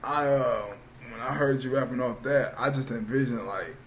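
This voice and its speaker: A man's voice: mid-range voice, moderate pace, slight background noise. Casual young-sounding male interviewer. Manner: relaxed slang, loose rambling questions. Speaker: interviewer, host.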